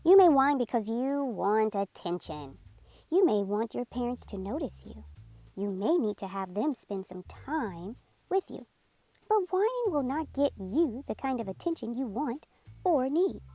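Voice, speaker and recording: Woman reading a children's book aloud, animated. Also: on the high side, a little slow, no room sound. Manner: clear, gently instructive. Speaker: storyteller.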